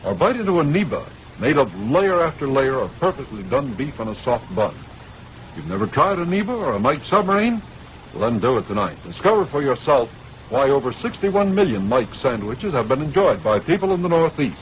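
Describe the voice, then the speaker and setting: A man's voice: medium pitch, big pitch swings, brisk. An American man reading a scripted 1960s radio advertisement as a commercial announcer. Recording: faintly noisy 1960s radio recording.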